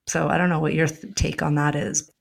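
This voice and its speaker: Woman with a gravelly edge, reflective. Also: deep voice, flat delivery, brisk. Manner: reflective, asks open questions, uses fillers like "you know". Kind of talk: casual conversation.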